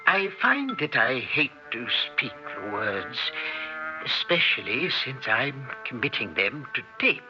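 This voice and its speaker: Muffled, measured male radio-drama scientist. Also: mid-range voice, sing-song. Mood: grave, reflective. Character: mild, hesitant male scientist recounting deaths on tape. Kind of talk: 1960s radio drama acting, first-person narration and dialogue. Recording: muffled old radio recording with music.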